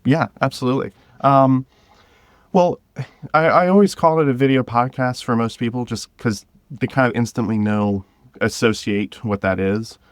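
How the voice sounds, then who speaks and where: A man speaking as a podcast guest, explaining things informally and pausing to gather his thoughts. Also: medium pitch, dry recording. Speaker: podcast guest, drama creator. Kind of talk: conversational interview.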